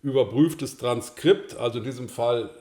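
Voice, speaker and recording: An older man, a native German speaker, calmly explaining a screencast tutorial to course participants, with the odd filler sound. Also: medium pitch, normal speed, reverberant. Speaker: course instructor.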